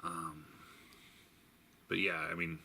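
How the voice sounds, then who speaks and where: A man giving a casual, unscripted product review, thinking aloud in a halting way and stating blunt opinions. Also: low-pitched, little pitch movement, vocal fry, sharp tone. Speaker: toy reviewer. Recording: faint background noise.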